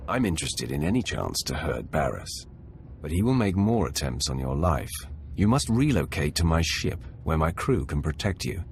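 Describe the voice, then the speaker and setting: A man's voice: extremely low pitch, sing-song, moderate pace, slight background noise, heavily acted. A man playing a cold, commanding Sith warrior in a video game, speaking in terse, menacing lines. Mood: firm, controlled.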